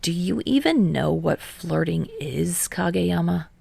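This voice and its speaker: A prickly, defensive teenage boy in an audiobook, played by a woman. The delivery is a dry, sarcastic drawl, low-pitched and very creaky, with snappish edges. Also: expressive, measured pace, warm tone, no room sound, full character voice.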